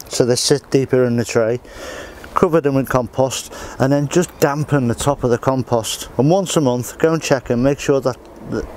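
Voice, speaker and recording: Practical gardener talking while working. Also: male voice, medium pitch, a little mellow, slight background noise. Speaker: allotment gardener. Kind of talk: casual how-to demonstration. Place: outdoor allotment garden.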